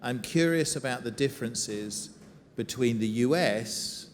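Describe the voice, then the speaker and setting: A man moderating a conference interview, with a voice of ordinary pitch for a man. He is dry and jokey, slips in quips and often stammers as he restarts a question. The sound carries the long reverb of a large hall. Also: a little slow, a little hiss or hum. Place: conference stage before an audience.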